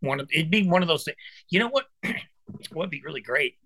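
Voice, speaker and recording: A man's voice: a little high, animated, moderate pace, pushed and bright, husky, no room sound. A man of fifty, a guest musician chatting casually and earnestly, calling his host brother and dude. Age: 50s. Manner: earnest, encouraging, says brother and dude a lot. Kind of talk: casual chat.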